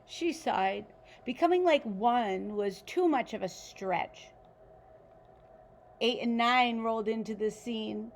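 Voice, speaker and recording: A woman reads a children's storybook aloud in a slightly high voice. She is expressive and takes her time, lingering over phrases like a teacher with a class. There is some creak in her tone and faint noise behind her. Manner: animated, deliberate, pauses to ask listeners questions. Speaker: teacher reading a picture book aloud to children.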